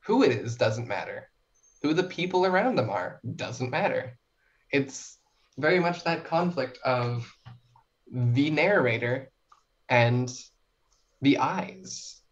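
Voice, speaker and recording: A woman's voice: a bass voice, lively intonation, slow pace, long pauses, muffled recording. A young woman talking casually as a podcast guest, in a chatty, informal and self-reflective way. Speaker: podcast guest, short story writer. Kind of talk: casual interview chat.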